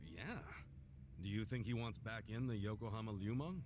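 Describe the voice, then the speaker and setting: An actor playing an earnest, blunt, big-hearted tough-guy hero in a video game, with a gruff, hearty voice. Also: fairly low pitch, animated, normal speed, music in the background, lightly acted. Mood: questioning, serious. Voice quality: gruff, gravelly. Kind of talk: video game cutscene acting.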